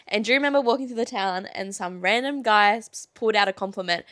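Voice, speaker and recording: A woman's voice: medium pitch, fast talker, a bit bright, no echo, studio quality. A young woman bantering casually as a podcast co-host, gushing and playful with a giggly way of talking. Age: young adult.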